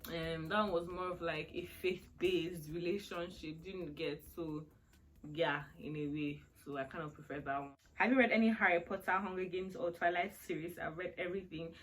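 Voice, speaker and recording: A woman's voice: fairly low pitch, fairly even intonation, normal speed, a bit bright, soft background music, rough recording. A woman in her twenties chatting through a question-and-answer vlog, rambling and laughing often. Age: 20s. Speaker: vlogger. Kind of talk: casual Q&A chat.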